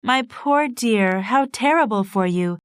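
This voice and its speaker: A woman's voice: a little high, animated, unhurried, clean tone, no echo, studio quality. A woman voicing a caring young sister in a storybook reading, in a plain, near-natural voice.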